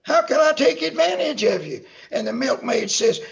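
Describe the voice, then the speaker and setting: A man playing a cranky, folksy old-timer who rambles in the style of old-time radio comedy, with a creaky voice. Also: extremely high pitch, lively intonation, moderate pace, dull audio, full character voice. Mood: storytelling.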